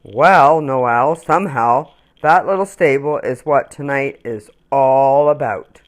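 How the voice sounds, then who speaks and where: A woman voicing a huge, kindly male Christmas tree in a children's story, gentle, reassuring and emphatic. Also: rumbling low, takes it slowly. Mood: gently explaining.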